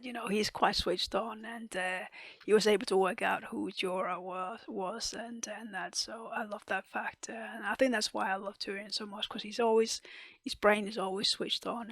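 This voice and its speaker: A woman reviewing a TV episode in casual chat, losing her voice, often clearing her throat and hesitating with ums. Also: mid-range voice, dry recording. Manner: hesitant, many ums and restarts, soft half-whispered delivery. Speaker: TV reaction and review host.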